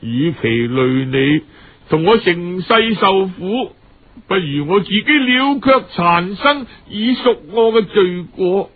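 Man playing a remorseful husband, mournful, theatrical, very slow, husky, audiobook farewell letter. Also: high voice, animated, phone-quality sound, heavily acted.

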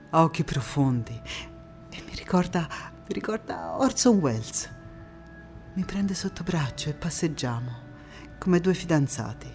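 A woman's voice: deep voice, darkish tone, lightly acted. An actress playing a passionate young anti-fascist partisan telling her war story in a dramatic stage monologue. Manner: dramatic, emphatic delivery.

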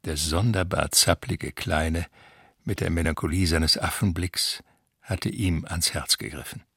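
A man's voice: deep voice, measured pace, vocal fry, sharp tone, husky, no echo, clean studio sound. A man reading scripted literary narration for a radio play.

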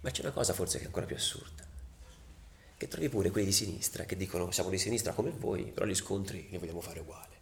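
An actor playing a passionate, embittered football ultra in a halting, colloquial confessional monologue for radio theatre. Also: male voice, sits low, gravelly, slight background noise. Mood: indignant. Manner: halting, colloquial, dropping to near-whispers.

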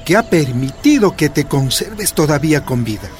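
An older father in a radio drama, high-voiced with lively swings in pitch. He speaks earnestly, urging and proud, over faint music. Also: normal speed. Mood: consoling. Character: devoted older father, proud former union leader.

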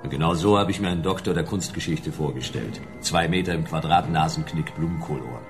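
Sardonic noir detective, rock-bottom low voice, music going behind him. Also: male voice, fairly quick. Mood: dry, sardonic. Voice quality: gravelly. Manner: laconic, dry, world-weary. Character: hard-boiled, sardonic male private detective in dystopian future. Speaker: narrator and lead character. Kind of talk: noir radio drama narration.

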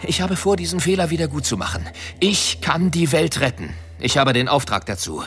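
An actor playing a rebellious, sardonic earthling with a mission in a science fiction radio drama, speaking in a smug, theatrical manner. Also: male voice, fairly high pitch, expressive, normal speed, fairly bright tone, faint music behind. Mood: triumphant, mocking.

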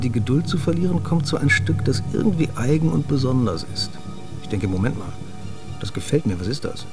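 A man's voice: medium pitch, close to an everyday voice. An actor playing a male techno insider who recounts past events matter-of-factly in a radio drama. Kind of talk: scripted radio drama narration.

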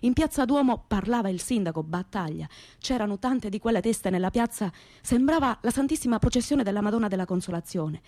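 Radio play monologue: actress as young southern Italian working-class woman, Calabrian accent, proud and earthy, mid-pitched, dry intimate sound. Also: expressive, brisk, darkish tone, dry recording, close to an everyday voice. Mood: wistful, tender. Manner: earthy, colloquial, repetitive folk storytelling. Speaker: stage actress performing a monologue. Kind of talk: dramatic first-person storytelling in dialect-tinged Italian radio theatre.

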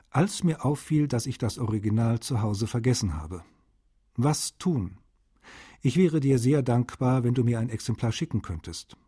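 A man's voice: medium pitch, mellow, husky, natural voice. A man playing a friendly, wry translator, reading his letters aloud in a radio feature.

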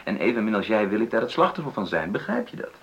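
An actor in a radio drama playing an earnest, conciliatory middle-aged husband who stammers and searches for words in a creaky voice. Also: male voice, fairly low pitch, sing-song, fast, lo-fi sound, full character voice. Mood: insistent. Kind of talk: naturalistic radio drama dialogue.

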